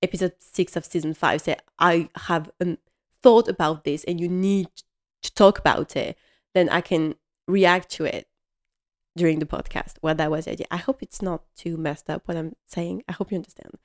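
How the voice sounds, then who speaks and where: A woman with a somewhat low voice hosts a casual solo podcast, chatting on in English, which is not her first language. She sounds young and rambles in a self-deprecating way, with plenty of fillers and false starts. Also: steady tone, moderate pace. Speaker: podcast host.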